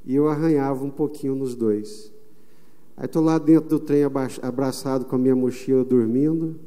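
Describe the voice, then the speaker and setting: A Brazilian man preaching a sermon as pastor, emphatic, stretching some phrases slowly. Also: medium pitch, fairly even intonation, mellow. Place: church sanctuary. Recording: long reverb of a church hall.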